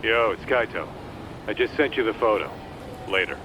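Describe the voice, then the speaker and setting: Actor as cocky streetwise tough guy, deep voice. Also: lively intonation, normal speed, noisy background, lightly acted. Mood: easygoing. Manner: casual, streetwise, blunt. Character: gruff, cocky, loyal male detective sidekick. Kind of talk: English video game cutscene dub acting. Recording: music playing behind the voice.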